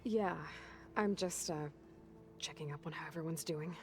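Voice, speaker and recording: Serious young game heroine, played by a woman, lowish voice, terse and weary, faint music behind. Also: normal speed, a little mellow, close to an everyday voice. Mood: guarded, weary. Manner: terse, focused, understated. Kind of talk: video game character dialogue.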